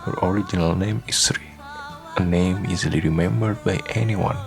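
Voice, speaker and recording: Guy narrating a radio drama, solemn, gravelly low voice, clear music behind him. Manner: solemn, deliberate storytelling. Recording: voice over prominent music.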